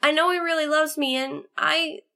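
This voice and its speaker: A woman's voice: sits high, fairly even intonation, normal speed, creaky, pushed and bright, full character voice. A woman voicing a small, homesick young female pony in a storybook, earnest and pouty with a pleading manner. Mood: hurt, insistent. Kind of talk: storybook character acting.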